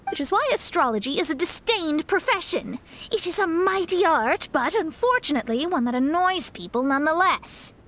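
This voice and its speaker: A woman's voice: high-pitched, slight background noise. A woman playing a proud, stern young astrologer in an anime game, speaking formally and with lofty self-assurance. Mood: indignant. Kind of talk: anime video game voice acting.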